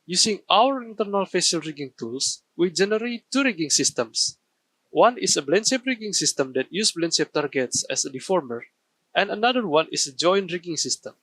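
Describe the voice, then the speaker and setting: A man's voice: high-pitched, animated, a little slow, fairly bright tone. A man giving a scripted technical presentation, explaining carefully step by step. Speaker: game studio artist presenting a workflow.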